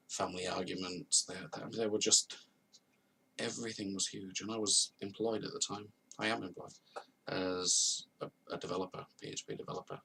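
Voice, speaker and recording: Earnest man in his thirties, gravelly. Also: deep voice, pushed and bright, recorded from a distance, slight background noise, poor audio. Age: 30s. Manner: earnest, confessional, repeats phrases for emphasis. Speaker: vlogger telling his own story. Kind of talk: personal testimony to camera. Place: at home, on a video call setup.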